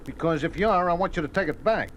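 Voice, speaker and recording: An American actor playing a folksy, defensive racetrack bookie in a 1950s radio drama, talking in streetwise slang with stammered repetitions. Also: male voice, on the high side, fast talker, fairly bright tone, lightly acted. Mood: indignant, defensive. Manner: streetwise slangy talk, hesitant repetitions, aggrieved. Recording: noisy old radio broadcast recording.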